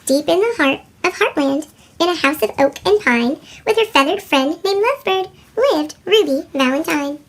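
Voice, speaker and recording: A woman reading a children's picture book as storyteller, with a squeaky chipmunk voice effect. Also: expressive, normal speed. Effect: sped-up chipmunk pitch shift. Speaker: read-aloud storyteller for children.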